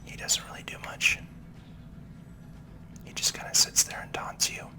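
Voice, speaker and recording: Asmr gamer whispering thru a playthrough, gentle n unhurried, all breath no voice. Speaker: gaming video host. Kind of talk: ASMR whispered game commentary.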